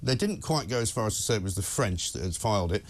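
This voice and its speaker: A man's voice: fairly low pitch, animated, fast. Indignant British man hosting talk radio-style discussion. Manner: indignant, complaining, conversational. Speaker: talk show host. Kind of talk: opinionated news discussion.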